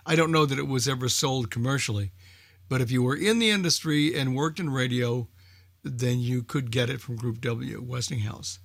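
A man's voice: medium pitch, moderate pace, gravelly, no room sound, studio quality. A man chatting casually as a regular of an online radio club meeting. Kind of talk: casual chat.

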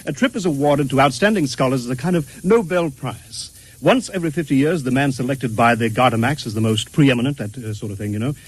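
Actor as husky, formal scholar from the future. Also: male voice, medium pitch, a little fast, no room sound, close to an everyday voice, rough recording. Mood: fussily explaining. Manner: formal, precise, reverent, fussily explanatory. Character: courtly, excitable male art scholar from the far future. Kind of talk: vintage radio drama acting. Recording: hissy old-time radio recording.

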